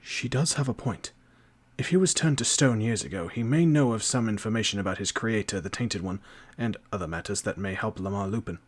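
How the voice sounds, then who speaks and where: An actor playing a dutiful, rule-following knight officer in a fantasy audio drama, formal and earnest. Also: male voice, lowish voice, brisk, natural voice.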